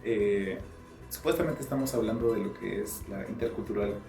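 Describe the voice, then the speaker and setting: Young male student, low voice, reflective discussion lead, roomy sound with music underneath. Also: normal speed, vocal fry. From Peru. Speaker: psychology student leading a discussion. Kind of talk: group discussion. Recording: echoey room with music behind.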